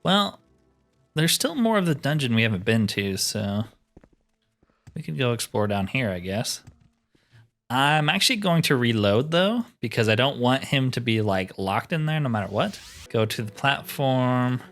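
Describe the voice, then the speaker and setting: A man plays through a game and talks it over as he goes. He muses aloud in a casual, slangy way at an average pitch, with music playing behind his voice. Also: big pitch swings, moderate pace, fairly bright tone. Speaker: let's player narrating a roleplay playthrough. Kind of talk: casual gameplay commentary, thinking aloud.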